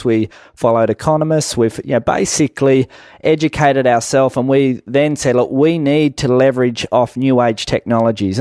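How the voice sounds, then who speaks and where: A middle-aged man with an Australian accent speaks as an interview guest. His pitch is average and his delivery is measured and explanatory, like someone recounting a career. The recording is close and dry. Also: a little mellow, no room sound. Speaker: guest, business owner. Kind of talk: conversational radio interview, explanatory.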